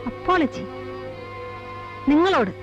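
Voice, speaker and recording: An actress playing a proud, sharp-tongued young woman in a film drama, scolding and disdainful, with an Indian Malayali accent. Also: sits high, lively intonation, slow, highs cut off, music in the background. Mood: cold anger. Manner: scolding, disdainful, English words mixed in. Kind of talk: heated film drama dialogue.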